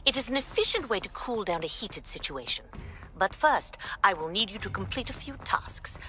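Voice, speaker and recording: A magic teacher in a video game. She is composed and instructive, with a medium pitch and a very varied melody. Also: lively intonation, normal speed, sharp tone. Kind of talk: scripted video game dialogue.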